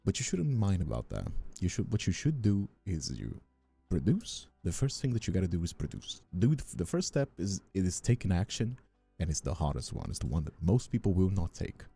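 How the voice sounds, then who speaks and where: A man's voice: low voice, big pitch swings, a little fast, creaky, dark tone, husky, soft background music. A man who streams and voice acts, chatting casually and at some length with viewers. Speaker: streamer and ASMR voice actor. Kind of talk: casual livestream chat answering viewers.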